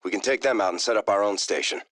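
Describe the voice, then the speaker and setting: An actor playing a pragmatic, weary fleet officer in a video game, plain-spoken and wry. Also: male voice, lowish voice, fast talker, fairly bright tone, no echo, studio quality, close to an everyday voice. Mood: businesslike. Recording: voice over game music.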